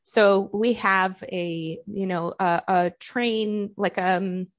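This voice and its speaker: A woman guest author with an American accent, born in Colombia. She talks in an earnest, heartfelt way at an even, mid-range pitch, leaning on "like" as she thinks aloud. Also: takes it slowly. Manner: earnest, heartfelt, uses "like" often. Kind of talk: casual interview chat.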